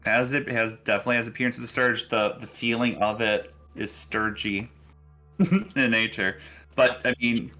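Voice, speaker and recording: A man narrating a tabletop game casually as game master, halting and thinking aloud as he goes. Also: medium pitch, sharp tone.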